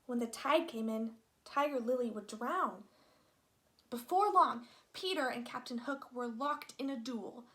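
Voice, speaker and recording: Woman storybook reader, expressive narration, high pitch, bright and animated. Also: unhurried. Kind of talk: expressive read-aloud children's narration.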